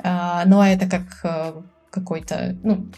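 A woman in casual conversation as a podcast guest. She speaks chattily, laughs often, fills pauses with hesitation sounds and acts out other people's lines. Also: on the low side, fairly even intonation, mellow. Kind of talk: casual chat.

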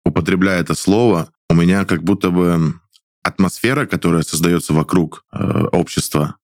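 Deep-voiced hesitant young man. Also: darkish tone, no echo, studio quality. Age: 20s. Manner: hesitant, many pauses and restarts, chuckles. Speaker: guest actor. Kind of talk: casual studio chat.

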